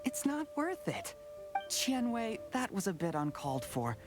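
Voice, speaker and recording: A woman playing a reasonable, firm young female peacemaker in a video game, placating and expressive. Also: on the high side, a little slow, over music.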